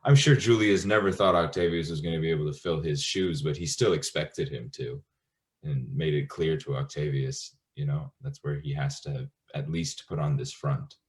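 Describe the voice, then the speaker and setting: A man speaks casually on a video-call panel. His voice is strikingly deep, far lower than most men's. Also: fairly even intonation, normal speed. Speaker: actor on a discussion panel.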